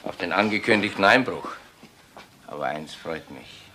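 Forceful, low-voiced police investigator. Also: male voice, lively intonation, moderate pace. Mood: emphatic. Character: frank, experienced male burglary investigator. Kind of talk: German crime radio play acting. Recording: faint noise and clicks, older radio play.

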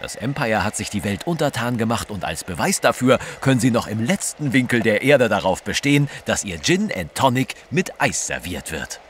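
An actor playing a pompous, wordy British gentleman in an audio drama. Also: fairly low pitch, big pitch swings, moderate pace, hardly any pauses, slight background noise.

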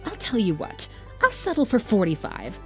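A woman's voice: mid-range voice, wildly swooping pitch, normal speed, a bit bright, soft background music. A woman voicing a vain, sassy fish woman who is flirty and self-assured. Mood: haggling.

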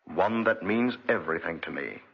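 An actor playing a cruel, obsessive, vengeful wealthy gentleman in a 1940s radio drama, with an imperious, theatrical delivery. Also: sits low, animated, normal speed, vocal fry, slightly rough, heavily acted. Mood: grave, controlled. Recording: muffled vintage 1940s radio recording.

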